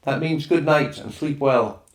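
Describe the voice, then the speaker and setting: A man voicing a kindly, brisk mother who playfully poses as a native in a storybook. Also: fairly high pitch, pitched above the performer's own voice, close to an everyday voice. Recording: roomy, echoing room sound.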